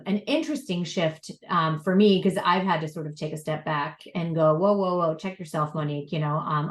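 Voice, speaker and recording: A woman professor speaking on a panel, talking in a loose, rambling way with many fillers and restarts. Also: lowish voice. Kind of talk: casual panel discussion. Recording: roomy echo.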